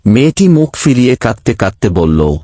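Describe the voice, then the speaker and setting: Narrator for animated horror tale: man, very expressive read, dry close recording. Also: medium pitch, normal speed, no room sound. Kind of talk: scripted ghost story narration.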